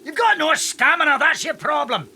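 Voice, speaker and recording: A mean, bossy male farmer in an audio drama. His voice is very sharp and gravelly, scolding and stubborn, with faint noise behind it. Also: very high-pitched, animated, moderate pace, heavily acted. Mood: bossy, insistent. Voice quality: creaky, sharp. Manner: scolding, domineering. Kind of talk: audiobook radio-play acting.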